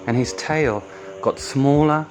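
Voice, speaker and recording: A man reads a picture book for children at an unhurried pace. He breaks sentences into short, deliberate phrases, and faint music plays along. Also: medium pitch, rough recording. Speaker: storytime reader.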